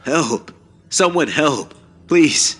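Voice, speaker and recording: A man's voice: a little high, lively intonation, drawn-out words, fairly bright tone, voice pushed up, heavily acted. A man playing a terrified survivor in a horror story, frantic, urgent and stammering as he pleads. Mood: panicked.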